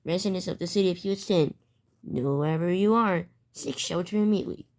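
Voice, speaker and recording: Halting woman reading mock emergency news, lowish pitch. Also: a little mellow. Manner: halting, stumbling, repeats phrases. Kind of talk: amateur mock emergency broadcast and news report.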